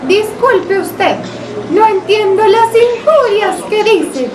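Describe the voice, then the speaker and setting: A woman's voice: very high-pitched, lively intonation, exaggerated voice. A woman playing a bewildered, courteous village woman in an amateur radio drama, speaking in archaic, theatrical phrases. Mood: courteous refusal. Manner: slow, archaic and theatrical speech. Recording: roomy, distant, noisy ambience.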